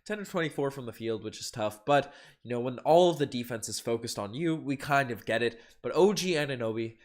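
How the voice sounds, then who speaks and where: A man's voice: mid-range voice, lively intonation, fairly quick. A stuffed-up man with a cold hosting a casual post-game reaction stream, rambling with frequent restarts. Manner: rambling fan talk with many restarts, swings loud and soft. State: congested with a cold. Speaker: sports podcast host.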